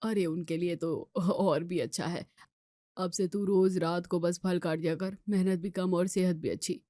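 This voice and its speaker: A woman voicing a calm, diet-obsessed husband in a family story, giving preachy and dismissive advice. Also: fairly low pitch, measured pace, no room sound, clean studio sound, lightly acted. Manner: dismissive, advising. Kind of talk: acted Hindi family story dialogue.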